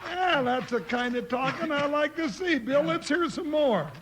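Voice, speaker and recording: A folksy Old West deputy with a comic drawl. His very high voice swoops about through the lines. It comes off a clearly noisy 1950s radio recording. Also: expressive, normal speed. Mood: eager, needling. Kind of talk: 1950s radio western acting.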